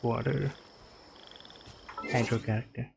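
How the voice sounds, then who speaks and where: A woman's voice: a bass voice, fairly even intonation, slow pace, gravelly, noisy background. A woman casually commenting as she reacts to a video game she is playing. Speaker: gaming streamer.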